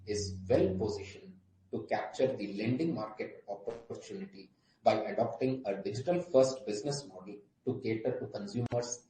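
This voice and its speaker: Mellow Indian businessman in an echoey room. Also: mid-range voice. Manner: formal, scripted delivery. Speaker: company executive. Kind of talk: prepared corporate presentation on an earnings call. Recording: roomy echo, faint background noise.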